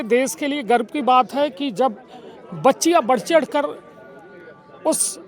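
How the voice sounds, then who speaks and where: Man reporter with a Bagheli accent. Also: very high voice. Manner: lively, emphatic, mixes dialect into standard speech. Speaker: news reporter. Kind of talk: on-the-spot interview and commentary.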